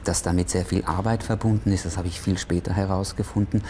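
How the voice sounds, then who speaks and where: A middle-aged man with a low, mellow voice answers interview questions indoors, lively and full of fillers. He grew up in Switzerland in an Italian family. A faint hum sits behind him. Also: fast. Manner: animated, many fillers. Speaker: actor interviewed. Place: indoor room with red curtain.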